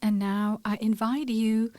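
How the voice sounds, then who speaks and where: A woman leading a gentle scripted guided meditation in a soothing, measured way, her soft voice turning breathy at phrase ends. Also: a little high, steady tone, no echo.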